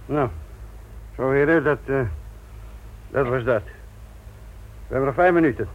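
An actor playing a sardonic, gruff older police instructor in a Dutch radio play, patronising and deliberate in manner. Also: male voice, medium pitch, natural voice. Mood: rueful, dry. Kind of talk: Dutch radio drama acting. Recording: thin, narrow-band old radio recording.

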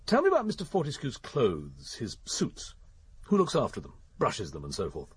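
A patient police inspector in a radio play. His English voice is very raspy and breathy, with lively pitch movement. He questions in a measured, businesslike way. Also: dry recording, exaggerated voice. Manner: measured, questioning, matter-of-fact. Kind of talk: radio drama dialogue.

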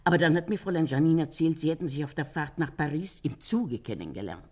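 An older landlady in a radio crime play, her voice low and husky. She gossips with sympathy, swinging widely in pitch as she retells what people said. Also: lively intonation, fast, darkish tone. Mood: chatty, confiding. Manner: chatty storytelling, quotes others animatedly. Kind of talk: German radio crime drama acting.

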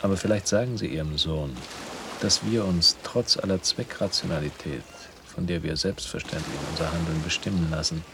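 A man's voice: low voice, moderate pace, background noise. An actor in a radio drama playing a coldly menacing criminal businessman who speaks in pompous, formal sentences. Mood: veiled menace. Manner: pompous, elaborately formal, veiled threats.

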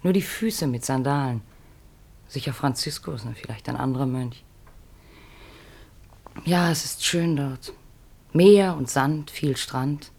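An actress playing a gentle, wistful mother among fugitive thieves in a 1950s German radio play, speaking in a dreamy, quietly persuasive way. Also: extremely low pitch, slow pace, creaky, long pauses, husky, exaggerated voice.

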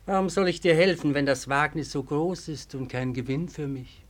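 An actor playing a crafty, self-important chieftain who feigns humility in a German radio play, theatrical and bargaining in manner. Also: male voice, a little high, moderate pace, natural voice. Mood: crafty, haughty.

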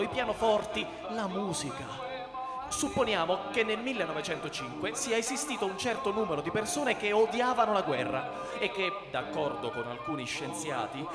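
An awestruck space explorer, theorising with earnest, persuasive emotion in a radio drama. The voice is high and echoey, set in a reverberant hall over very prominent music. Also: male voice. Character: excitable, awestruck young male space explorer, eagerly theorising.

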